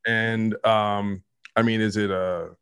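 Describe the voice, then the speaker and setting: A man's voice: lowish voice, little pitch movement, slow pace, a bit bright, clear, resonant tone. A man hosting a casual interview, asking curious questions with many restarts. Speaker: podcast host.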